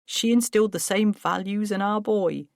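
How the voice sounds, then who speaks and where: A woman's voice: medium pitch, normal speed, creaky, a little mellow, no echo, studio quality, voice pushed up, lightly acted. A woman voicing a flustered, self-pitying middle-aged businessman who turns defensive and agitated. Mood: rueful. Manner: agitated, defensive, rising to outbursts.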